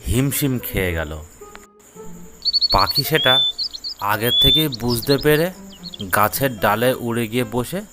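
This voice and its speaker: A man narrating a scripted children's fable in measured, short phrases. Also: mid-range voice, over music. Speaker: storyteller, narrator.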